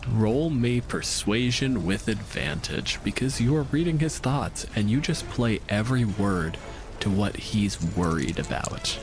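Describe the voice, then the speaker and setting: A man narrating as game master of a tabletop game in an animated, casual way, ready to swear. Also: fairly low pitch, measured pace.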